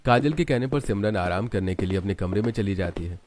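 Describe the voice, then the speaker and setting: A man's voice: fairly low pitch, expressive, fairly quick, noisy background. A man reading scripted narration for a cartoon story as its narrator.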